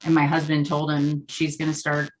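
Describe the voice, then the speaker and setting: A woman with a low voice speaks as an interview guest, telling personal stories in a casual, conversational style. Faint room noise sits behind her. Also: monotone, normal speed, low-quality recording. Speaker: interview guest, loan officer. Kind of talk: casual chat, personal storytelling.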